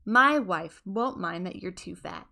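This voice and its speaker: A woman plays a kindly bull moose from a children's storybook. He speaks in a bright, forward tone, hearty and coaxing. He is polite but will not be put off. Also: medium pitch, animated, a little slow, creaky, full character voice. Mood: polite, insistent. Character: kindly, determined adult male moose husband.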